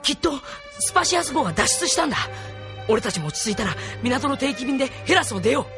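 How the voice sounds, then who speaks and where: An actor playing an anxious, hot-tempered young resistance fighter in a Japanese anime audio drama, exasperated and earnest. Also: male voice, very high-pitched, sing-song, fast talker, over music, heavily acted. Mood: worried.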